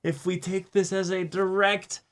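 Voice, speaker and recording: A man co-hosting a reaction show, arguing his points casually in a questioning, animated way. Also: sits high, unhurried. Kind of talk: casual anime discussion.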